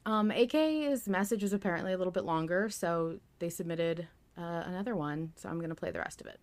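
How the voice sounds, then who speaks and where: A woman's voice: on the low side, fairly quick. A woman hosting a podcast in casual chat, swearing freely. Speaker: podcast host.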